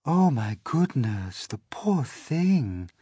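A man doing a gentle motherly ladybird. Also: medium pitch, big pitch swings, drawn-out words, husky, no room sound, voice pushed up, full character voice. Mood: kindly. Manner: soft and soothing.

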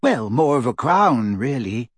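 A dreamy teenage witch from a storybook, as a male narrator puts her on. She is earnest and eccentric, musing matter-of-factly in a slightly husky, close-sounding voice. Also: medium pitch, sing-song, measured pace, dry recording.

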